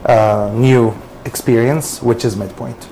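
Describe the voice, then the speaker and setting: Man, casual panel guest, average pitch, slightly halting, light background noise. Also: steady tone, dark tone, poor audio. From Saudi Arabia. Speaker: panelist, MBA student and entrepreneur.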